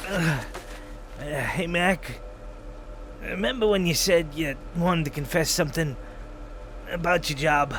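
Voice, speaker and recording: A man's voice: on the high side, slow, pauses often, background noise. A man playing a loyal, self-sacrificing criminal in an audio drama, earnest and plain-spoken. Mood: wistful. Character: loyal, self-sacrificing male career criminal, gently resigned. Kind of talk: audio roleplay drama acting.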